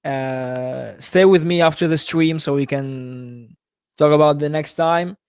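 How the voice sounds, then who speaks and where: Higher-voiced man hosting a podcast. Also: takes it slowly, a little mellow, clean tone, no room sound, clean studio sound. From Italy. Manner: non-native English, hesitant with many uh fillers. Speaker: podcast host. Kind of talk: casual chat.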